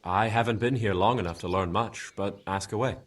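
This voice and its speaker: Husky, plainspoken medieval villager played by a man. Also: lowish voice, a little fast, close to an everyday voice. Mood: open, frank. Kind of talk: video game voice acting.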